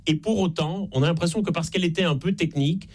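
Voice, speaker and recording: A man with a Belgian French accent, an author being interviewed on a radio call-in show, explaining in a measured way. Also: fairly high pitch, a little mellow. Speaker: author guest.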